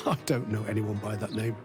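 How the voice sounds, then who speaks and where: A man's voice: lowish voice, steady tone, normal speed, natural voice. An actor playing a confused, cheerful well-to-do man with lost memories in a video game, rambling absent-mindedly. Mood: confused, wistful. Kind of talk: video game character dialogue. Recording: music behind the voice.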